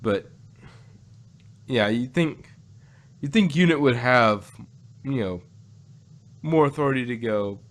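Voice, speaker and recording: A man's voice: mid-range voice, animated, takes it slowly, pauses often. A man with a middle-range voice talks casually as co-host of a review show. He has a jokey manner and slips into imagined quotes to make his points. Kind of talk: casual chat reviewing audio drama.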